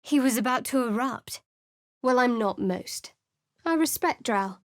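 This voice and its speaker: A guarded female cleric, wry and cool, slightly high voice, dry studio read. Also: lively intonation, a little slow, dry recording. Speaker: game character. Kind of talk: video game voice acting. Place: studio booth. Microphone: studio mic.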